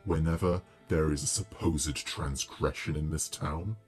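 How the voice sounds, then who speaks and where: A man voicing a huge, ancient, wrathful smoke demon in a horror story, with a deep booming voice and slow, solemn, menacing delivery. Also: steady tone, a little mellow, faint music behind. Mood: wrathful, judging. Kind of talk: horror audio story acting.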